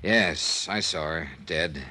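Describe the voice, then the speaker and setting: An actor playing a tough, world-weary American insurance investigator in a 1950s radio drama, clipped, hardboiled and deadpan. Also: male voice, rumbling low, slow pace, full character voice. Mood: firm, probing. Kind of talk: 1950s radio detective acting and first-person narration. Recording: vintage radio recording with music.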